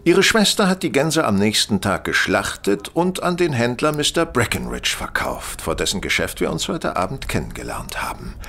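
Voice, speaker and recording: An actor playing a composed, incisive master detective in an audio drama, methodical, stern and theatrical. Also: male voice, mid-range voice, sing-song, normal speed, creaky, few pauses, sharp tone, a little hiss or hum, heavily acted. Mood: explaining, probing. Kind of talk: German audio drama acting.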